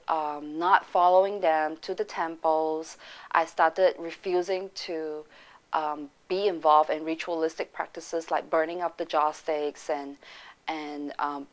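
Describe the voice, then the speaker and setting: A woman with a voice pitched a little low for a woman. She tells her personal faith story as a church member, earnest and reflective, pausing often to gather her thoughts. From Singapore. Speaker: church member giving testimony.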